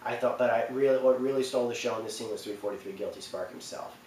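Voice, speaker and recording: A man giving informal, halting commentary on video game cutscenes, repeating himself for emphasis. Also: mid-range voice, a little mellow. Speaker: video host. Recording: echoey room, distant mic, faint noise.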